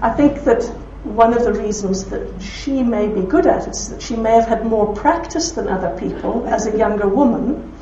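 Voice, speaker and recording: A woman with a broad Belfast accent speaking to an audience, telling anecdotes with warmth and laughter. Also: fairly high pitch, normal speed, few pauses, slight background noise. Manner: warm anecdotal storytelling with laughs. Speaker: speaker at a talk. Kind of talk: talk with audience questions. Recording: roomy hall from far off, dull.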